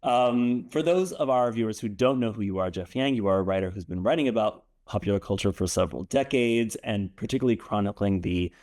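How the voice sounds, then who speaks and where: A man hosting an interview, asking questions in a relaxed, conversational way. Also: lowish voice, brisk, few pauses. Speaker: interview host. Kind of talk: casual interview talk.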